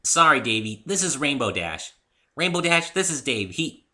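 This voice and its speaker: A man voicing a bubbly, excitable young female cartoon pony who exclaims cheerfully in a dramatic story reading. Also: medium pitch, normal speed, voice pushed up. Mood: flustered. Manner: exclaiming, sing-song, cheerful.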